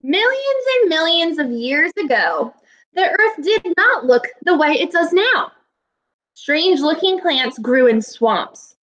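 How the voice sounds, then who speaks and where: Woman, 20s, primary teacher on webcam. High-pitched, forceful delivery, clear instructions and encouragement aimed at young pupils. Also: sing-song, slow pace, rough recording. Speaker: elementary school teacher. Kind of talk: children's read-aloud video lesson, instructional. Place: small indoor room at home. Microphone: webcam or laptop microphone, video call recording.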